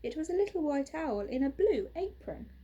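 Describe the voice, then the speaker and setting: A young woman teacher gently and expressively reading a children's picture book aloud in a small room. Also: high voice, sing-song, moderate pace, dull audio.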